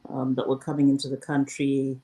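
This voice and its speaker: A woman, a former news presenter talking through her career at a thoughtful, measured pace in a casual interview. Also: a bass voice, monotone, mellow, low-quality recording. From Zimbabwe.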